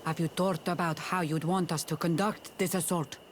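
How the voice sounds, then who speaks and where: A man's voice: on the high side, steady tone, moderate pace, slight background noise, close to an everyday voice. A man playing a stern, proud native war leader in a video game, grave and resolute. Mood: grim resolve.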